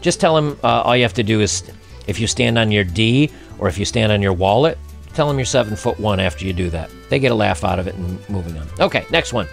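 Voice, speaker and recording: A man's voice: lowish voice, animated, fast talker, creaky, soft background music. A middle-aged man hosting a casual advice monologue for men, speaking bluntly and conversationally. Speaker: host giving dating commentary and advice to men.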